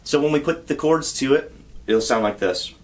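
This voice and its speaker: A man teaching a song on guitar, explaining step by step in a patient, relaxed way and counting beats aloud. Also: mid-range voice, normal speed. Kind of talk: guitar tutorial. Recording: loud guitar under the voice. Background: acoustic guitar playing.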